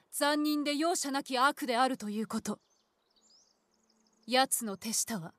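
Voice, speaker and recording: A woman's voice: sits high, fairly bright tone. An actress playing a composed, dignified village lady in a samurai-era game, speaking formal, courtly, archaic language. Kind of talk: formal period-drama game voice acting.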